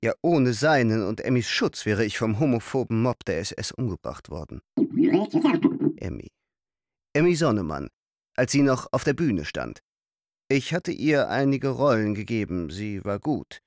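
A man's voice: lowish voice, big pitch swings, moderate pace. An actor playing a vain, urbane stage star and manor host in a radio play, theatrical and savoring his words. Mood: suave, self-satisfied. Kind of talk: radio play acting and reflective monologue.

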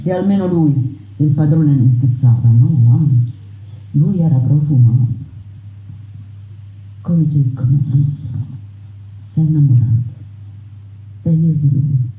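A defiant peasant brigand woman tells her story with impassioned, declamatory force, as a stage narrator voices her. The sound is roomy and echoing. It comes through dull and muffled, like a radio broadcast. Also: low voice. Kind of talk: theatrical monologue on radio.

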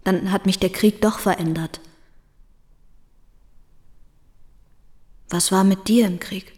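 An actress in a radio play voicing a troubled young woman who speaks haltingly and often drops into breathy whispers. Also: medium pitch, steady tone, darkish tone, lightly acted. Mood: uneasy, confessing. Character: troubled young Alsatian woman facing her former lover. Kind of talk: German radio play acting. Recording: long reverb, music behind.

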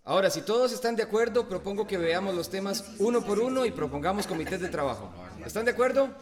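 A man's voice: high-pitched, animated, fast talker. An actor playing an earnest, fair-minded village meeting chairman in a radio drama. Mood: conciliatory. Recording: noisy crowd behind.

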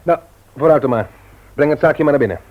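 A man's voice: fairly high pitch, fast, a little hiss or hum, lightly acted. An actor playing a reluctant, businesslike male shopkeeper in a Dutch radio play. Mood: reluctant, bargaining. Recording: tinny, narrow-band old radio recording.

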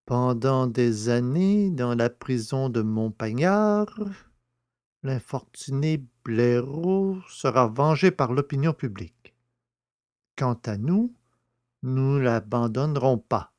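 A man playing a naive, self-pitying poacher in prison, easily flattered and grandiose, in a theatrical, exclamatory audio drama style. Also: medium pitch. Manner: plaintive, exclamatory, drawn-out words. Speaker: actor in an amateur audio drama.

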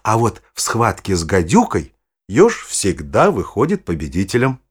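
An older male actor playing a kindly, knowledgeable grandfather who explains nature to his granddaughter in a husky voice, in a children's audio play. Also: lowish voice, huge pitch swings, heavily acted. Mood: instructive, engaged. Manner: unhurried, storytelling, instructive. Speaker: narrator-guide in an educational audio play.

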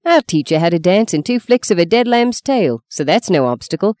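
A woman's voice: lowish voice, big pitch swings, brisk, darkish tone, no room sound, clean studio sound, voice pushed up. Woman doing a cocky young stockman. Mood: breezy.

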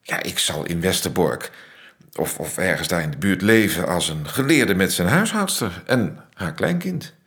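A man's voice: deep voice, sing-song, moderate pace, a bit bright. An actor in a radio play playing an ironic, worldly male astronomer with a dry wit. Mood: wry. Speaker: Max, astronomer. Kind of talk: radio drama acting.

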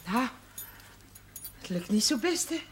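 A woman's voice: on the high side, big pitch swings, takes it slowly, slight background noise. A dry, motherly former housekeeper. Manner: dry, teasing, plainspoken. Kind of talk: 1970s TV drama acting.

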